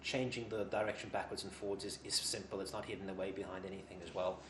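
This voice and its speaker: A man's voice: low-pitched, little pitch movement, fast, noisy background. A man in his thirties chatting casually and commenting as co-host of a product demonstration. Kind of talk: casual chat, reacting and commenting. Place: indoor tool workshop showroom.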